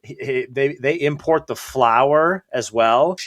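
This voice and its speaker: A man hosting a casual podcast conversation, his middle-pitched voice carrying a bright, forceful tone. He talks in an easy, friendly way. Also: slow pace. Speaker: podcast host.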